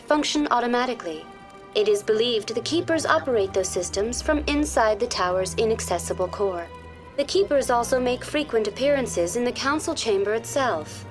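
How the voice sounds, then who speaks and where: A woman voices a courteous female computer guide in a sci-fi game. Her voice sits fairly high and delivers facts in a formal, measured way. Soft music plays underneath. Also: natural voice, low-quality recording. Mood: neutral, informative. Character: polite, informative female virtual tour guide terminal. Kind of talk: scripted informational narration.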